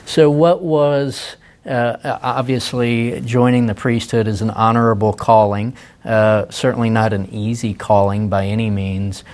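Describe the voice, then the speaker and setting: A man's voice: on the low side, fairly even intonation, measured pace, a little mellow. A man hosting a casual interview, easygoing, laughing often and asking follow-up questions. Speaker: interview host.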